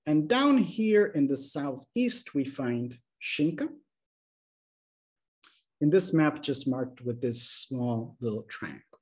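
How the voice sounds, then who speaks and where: A man in his thirties giving a measured, explanatory academic lecture over a video call, checking in with his listeners. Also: mid-range voice, animated, a little mellow. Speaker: linguistics lecturer. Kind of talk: academic slide presentation. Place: small room indoors. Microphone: video call webcam.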